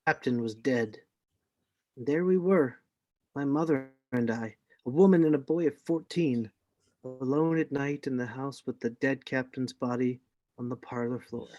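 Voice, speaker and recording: Man acting as a storyteller for a radio adventure, suspenseful, dry sound, mid pitch. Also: moderate pace, warm tone, no room sound, highs cut off, close to an everyday voice. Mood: grave, suspenseful. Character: grown man recounting his boyhood sea adventure. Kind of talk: scripted radio play narration.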